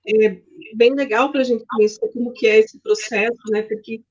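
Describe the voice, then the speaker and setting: A woman's voice: on the high side, steady tone, normal speed, darkish tone. A woman hosting an interview, asking long winding questions with frequent "né". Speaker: host, interviewer. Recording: dull, muffled audio.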